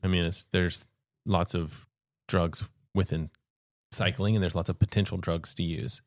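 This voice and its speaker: Low-voiced joking man, dry sound. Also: no echo. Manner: joking, quick deadpan quips. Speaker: podcast co-host. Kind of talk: casual roundtable chat.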